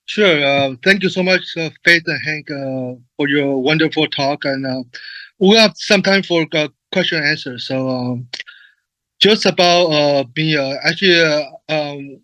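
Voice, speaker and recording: Bright-voiced man who stutters. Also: a little high, unhurried, no room sound, rough recording. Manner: stutters, heavy fillers and repeated words. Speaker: presenter and moderator. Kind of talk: online conference talk.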